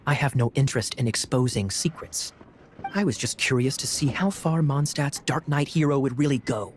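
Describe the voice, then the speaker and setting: A man's voice: medium pitch, moderate pace, slight background noise, lightly acted. Man playing a sly, teasing young knight. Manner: smooth, teasing, theatrical. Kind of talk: video game voice acting.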